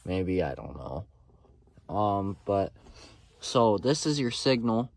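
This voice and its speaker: Slow-talking man, low voice, noisy recording. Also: big pitch swings, creaky. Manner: informal, unpolished, thinking aloud. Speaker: amateur video host. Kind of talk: casual hands-on tutorial. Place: in and around a pickup truck. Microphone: phone camera mic. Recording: noisy phone recording, vehicle noise, clicks.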